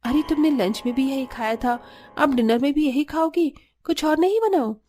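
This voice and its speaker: A woman's voice: high-pitched, normal speed, warm tone, natural voice. A woman voicing a cheerful, affectionate young bride in a cartoon family story.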